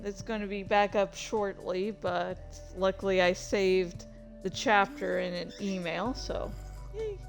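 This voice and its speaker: A woman streamer chatting casually about her own writing in a halting, rambling, self-deprecating way. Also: medium pitch, lively intonation, vocal fry, soft background music. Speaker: streamer and fan fiction author. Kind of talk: casual livestream chat.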